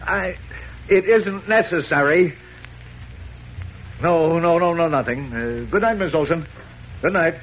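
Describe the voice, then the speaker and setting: On a muffled, hissy old radio recording, a man plays an elderly newspaper editor in a 1940s serial. He is slightly high-voiced, flustered and despairing, and stammers and sputters through his words in agitation. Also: unhurried, pauses often, close to an everyday voice. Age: older.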